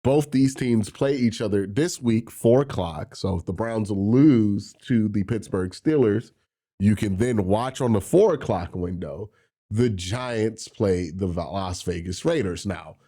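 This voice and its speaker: A man with a head cold hosts a solo sports talk show, his voice nasal and a little breathy. He speaks in a low, mellow, darker tone. He explains draft rules step by step. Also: measured pace. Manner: explanatory, walks through tiebreaker rules step by step. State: congested from a cold. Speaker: sports channel host.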